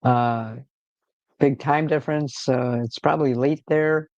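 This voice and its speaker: A man with a gravelly, creaky voice hosting a relaxed livestream from home. He rambles loosely, with lots of fillers and restarts. The audio is dry and a bit dull and lo-fi. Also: mid-range voice, unhurried, no room sound. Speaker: livestream host. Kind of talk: casual livestream chat.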